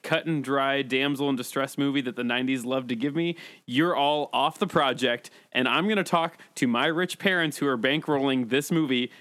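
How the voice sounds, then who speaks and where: A man's voice: medium pitch, fairly bright tone, no room sound. A man hosting a casual comedy discussion, teasing and mock-stern as he moderates. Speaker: podcast host and moderator.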